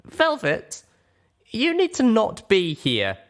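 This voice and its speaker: A man's voice: high voice, huge pitch swings, slow, voice pushed up, exaggerated voice. A man voicing a stubborn, angry young female pony in a dramatized audiobook, with a harsh, emphatic delivery. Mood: stern.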